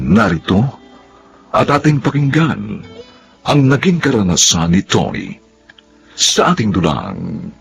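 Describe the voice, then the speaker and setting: Solemn guy hosting a radio drama, real slow and deep, music under him. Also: vocal fry. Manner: solemn, drawn-out delivery. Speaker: narrator and program host.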